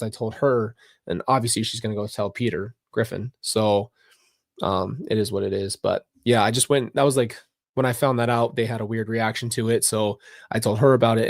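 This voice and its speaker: Low-pitched man, halting reflective commentary. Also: gravelly, no echo, studio quality. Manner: halting, repeats phrases. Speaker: documentary reaction host. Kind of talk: personal, reflective livestream commentary.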